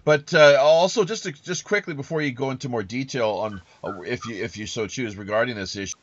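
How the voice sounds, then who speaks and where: A man hosting a casual interview, talking in a halting, rambling and wordy way. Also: mid-range voice, a bit bright, muffled recording. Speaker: podcast host.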